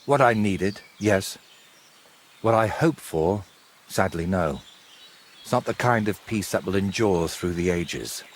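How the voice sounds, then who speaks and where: An actor playing a brooding, secretive medieval painter in a historical video game, wry, teasing and evasive. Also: male voice, sits low, expressive, slow pace, a little hiss or hum. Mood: weary, dry. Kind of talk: dramatic dialogue in a cinematic historical game.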